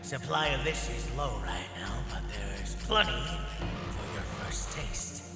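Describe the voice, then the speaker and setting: A man's voice: mid-range voice, expressive, takes it slowly. A man playing a smug, theatrical drug-dealing gang boss in an audio drama, with a taunting, showman-like delivery. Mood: smug salesmanship. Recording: long reverb, loud music underneath. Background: loud music.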